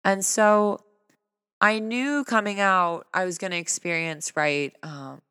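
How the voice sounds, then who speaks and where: Man, earnest vlogger, smooth voice, fairly high for a man, low end of pitch range, long reverb tail. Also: fairly even intonation, slow, pushed and bright, studio quality. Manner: earnest, conversational, tag questions and rhetorical questions. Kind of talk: personal reflective talk to camera.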